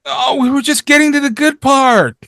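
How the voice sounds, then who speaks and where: High-voiced teenage horror geek played by a man. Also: moderate pace, creaky, fairly bright tone, dry recording, clean studio sound, heavily acted. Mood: disappointed. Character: excitable teenage horror-movie geek at a house party. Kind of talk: amateur table read.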